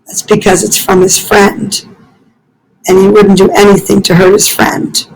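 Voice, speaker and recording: A woman reads a children's story aloud at a very unhurried pace. She works as a school librarian and speaks carefully to young listeners, pausing the narration for short teaching asides. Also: mid-range voice, little pitch movement, creaky, rough recording.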